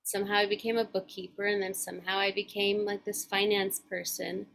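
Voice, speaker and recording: A woman's voice: medium pitch, little pitch movement, vocal fry, fairly bright tone. Reflective, earnest woman podcaster. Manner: reflective, earnest, speaks in measured thoughts. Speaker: podcast co-host. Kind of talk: casual chat.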